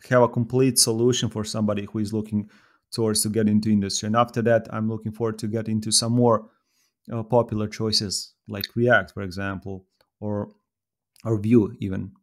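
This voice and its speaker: A man in his thirties from Serbia with a Serbian accent, speaking as a podcast guest in a thoughtful, halting way with many fillers. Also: fairly low pitch. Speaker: podcast guest, software developer. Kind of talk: casual podcast conversation.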